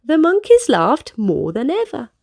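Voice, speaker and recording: A high-voiced woman reads a storybook aloud to young children. She takes her time, with big melodic swings and direct questions and exclamations for the listeners. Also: wildly swooping pitch, measured pace, gravelly, darkish tone. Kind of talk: expressive read-aloud narration for children.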